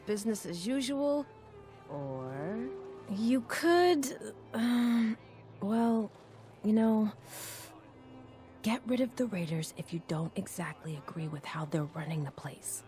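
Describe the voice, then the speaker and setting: An actress playing a nervous, deferential doctor among raiders in a video game, speaking hesitantly. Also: female voice, medium pitch, lively intonation, warm tone, soft background music. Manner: hesitant, halting. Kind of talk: video game character dialogue.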